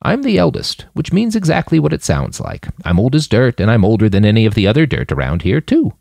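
Guy doing a old grandma leader, dry n blunt, creaky, very up and down, audiobook. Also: medium pitch, huge pitch swings, fairly quick, mellow, no room sound, voice pushed up, exaggerated voice. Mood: wry, frank. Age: older. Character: eldest old woman leader, wry, blunt, matter-of-fact grandmother.

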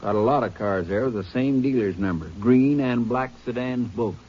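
A man's voice: mid-range voice, breathy edge, natural voice. An actor playing a plain-spoken sheriff who reports findings to his partner in a 1950s radio drama. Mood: matter-of-fact. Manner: brisk, matter-of-fact. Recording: dull old radio broadcast with faint hiss.

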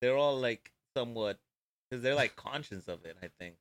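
Rambling young comedian, swearing freely. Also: male voice, mid-range voice, animated, normal speed. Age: 20s. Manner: rambling storyteller, acts out quotes, swears freely. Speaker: podcast guest, comedian. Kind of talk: casual comedy chat.

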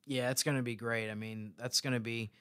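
A man hosts a sports podcast in a casual, measured, wordy style. His voice is mid-pitched and smooth, recorded close and dry with no room sound. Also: steady tone, a bit bright, no room sound.

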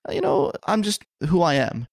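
A man's voice: sits high, huge pitch swings, normal speed, gravelly, no echo, clean studio sound. A man hosting a podcast who talks casually about his own struggles, jokes around, and fills his speech with "you know.". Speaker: podcast host. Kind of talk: casual self-disclosing chat.

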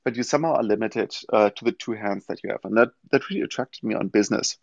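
A man in his forties from Germany with a German accent, answering interview questions in a thoughtful way with many pauses. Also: fairly low pitch, vocal fry, no room sound. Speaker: guest, company founder. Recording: dull lo-fi audio-room stream.

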